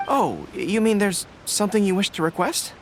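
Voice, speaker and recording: A man voicing an earnest, loyal young general in a game, polite and quick to apologize. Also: high voice, lively intonation, a little hiss or hum. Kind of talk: game voice acting.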